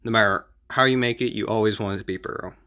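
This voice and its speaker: A man giving casual advice as an amateur video creator, explaining in an informal, halting and repetitive way. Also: fairly low pitch, fairly even intonation, sharp tone.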